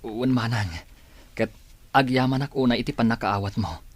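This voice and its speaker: An actor playing a hesitant, earnest man in an Ilocano village radio drama, with a husky voice. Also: medium pitch, steady tone, normal speed, close to an everyday voice. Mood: hesitant, shy.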